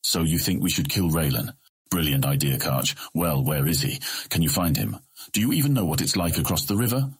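An extremely deep, rough-edged guild master with a notorious temper , acted out by a man. He commands with biting sarcasm and open menace in a fantasy audiobook, his voice grating and husky. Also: lively intonation, a little fast, exaggerated voice. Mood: sarcastic fury. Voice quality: gravelly, grating. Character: furious, menacing male guild leader with famous temper.

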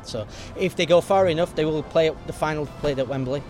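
Male, slightly high voice, informal explainer at a football ground, music clearly behind. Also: fairly quick, a little mellow. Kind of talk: casual explanatory chat.

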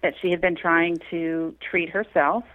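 A woman's voice: on the low side, level tone, measured pace, narrow, tinny audio. A woman from Texas speaking as a podcast guest, earnest and conversational. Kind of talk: interview conversation.

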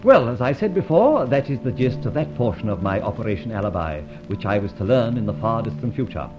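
A man playing an urbane, self-satisfied gentleman thief, with wry theatrical delivery in an old radio drama. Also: fairly low pitch, very animated, a little fast, music in the background. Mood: smug, reflective. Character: suave, self-satisfied gentleman thief recounting his adventures. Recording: dull old radio recording.

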